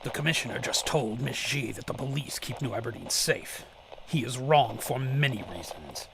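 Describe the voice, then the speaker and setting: Radio drama role: grim, cryptic vigilante, creaky gravelly voice, half-whispered menace, slightly noisy audio. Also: male voice, mid-range voice, expressive. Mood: stern warning. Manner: slow, ominous, formal speech. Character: grim mysterious male vigilante, cryptic and resolute.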